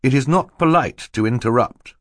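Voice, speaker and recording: A man voicing a fussy, pompous storybook gentleman. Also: mid-range voice, wildly swooping pitch, moderate pace, husky, dry recording, studio quality, voice pushed up, full character voice. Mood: stern. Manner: admonishing, pompous, punctuated by coughing. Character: fussy, stern, easily flustered gentleman with a cough. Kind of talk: audiobook character acting.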